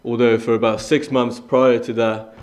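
A man's voice: mid-range voice, level tone, moderate pace, a little mellow. A young man from Iran addresses a congregation as a guest preacher. His testimony is earnest and conversational, and his mid-range voice stumbles into restarts and fillers as he speaks. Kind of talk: sermon with personal testimony.

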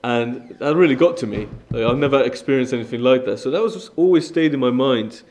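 A man's voice: medium pitch, animated, a little fast, darkish tone, a little hiss or hum. Earnest young man giving a sermon. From Iran. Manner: earnest, conversational, with frequent fillers and restarts. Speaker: guest speaker. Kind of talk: sermon with personal testimony.

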